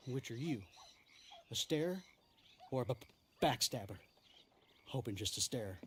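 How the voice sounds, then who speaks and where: An actor playing a nervous, suspicious small-time outlaw with a heavy stutter in a video game. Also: male voice, medium pitch, expressive, measured pace, fairly bright tone, slight background noise. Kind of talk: video game character acting.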